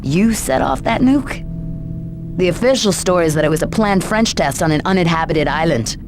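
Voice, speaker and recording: A woman's voice: on the low side, lively intonation, moderate pace, background noise, lightly acted. A woman playing a serious official interrogator in a video game, probing and formal. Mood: skeptical. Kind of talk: scripted game dialogue.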